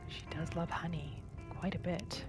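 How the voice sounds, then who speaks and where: A woman narrating a game roleplay in a gentle, hushed ASMR style, sometimes dropping to a whisper, unhurried. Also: fairly low pitch, fairly even intonation. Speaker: gameplay narrator. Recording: music behind the voice. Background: music.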